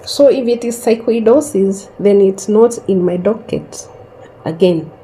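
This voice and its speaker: A woman in her thirties slowly telling a personal story in a calm, reflective way, pausing often. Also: mid-range voice, a little hiss or hum. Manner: slow and reflective, with long pauses. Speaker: host sharing a personal story.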